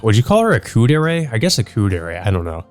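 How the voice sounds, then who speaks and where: A man with a fairly low voice hosts a visual novel playthrough, reading aloud and commenting casually as he goes. He muses and hesitates in his asides, with music playing underneath. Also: big pitch swings, a little fast, a little mellow. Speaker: let's play host.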